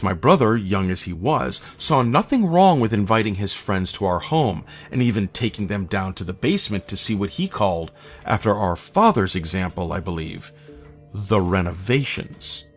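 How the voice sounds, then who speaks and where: Low-voiced man narrating horror, somber. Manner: measured, somber, literary delivery. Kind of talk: scripted first-person horror narration.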